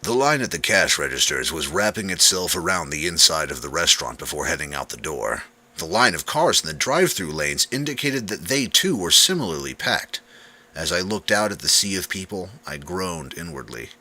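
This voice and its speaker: A male narrator reads a scripted first-person story dramatically. His voice is low and very bright and forceful, with a lively, swinging intonation. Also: normal speed. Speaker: audiobook narrator.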